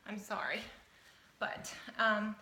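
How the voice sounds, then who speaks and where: A woman's voice: medium pitch, little pitch movement, slow pace, bright, forceful tone. An American woman of fifty chatting casually and informally, as if introducing herself for an audition. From Southern Ohio, United States. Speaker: house-hunting show applicant. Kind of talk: casual interview-style chat to camera. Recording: echoey room.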